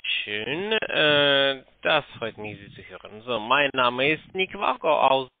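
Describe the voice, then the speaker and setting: A man with a foreign accent, not a native German speaker, haltingly reading out a phone sales pitch in broken German and stumbling over his words. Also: animated. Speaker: telephone sales agent. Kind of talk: scripted cold-call sales pitch, read out haltingly. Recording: narrow, tinny phone line.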